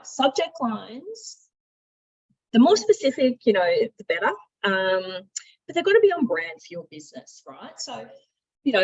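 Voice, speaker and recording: A woman giving an informal, instructional software demo as a trainer, talking in a conversational, emphatic way. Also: medium pitch, slightly rough, dull audio. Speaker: software trainer, marketing director.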